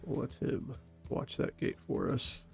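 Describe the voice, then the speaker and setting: A man with a stuffy, congested voice giving calm, methodical commentary on a tactical game. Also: unhurried, soft background music. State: stuffy from a cold. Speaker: gaming channel host and SWAT operator.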